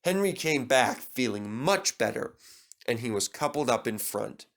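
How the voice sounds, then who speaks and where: A man's voice: medium pitch, expressive, a little slow, a bit bright. A man narrating a scripted children's story as a storybook reader.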